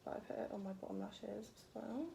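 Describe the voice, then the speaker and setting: A young woman with a croaky voice, chatting casually through a makeup tutorial and making fun of herself as she works. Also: medium pitch, steady tone, fairly quick, warm tone. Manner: chatty, self-deprecating, talks while working. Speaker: beauty vlogger. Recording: echoey room with faint noise.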